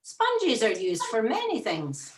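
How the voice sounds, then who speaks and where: Woman, church reader, lively intonation, expressive, slow delivery, roomy sound. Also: a little high, background noise. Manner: expressive, reflective reading. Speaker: devotional reader. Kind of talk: scripted church reflection reading. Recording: roomy echo.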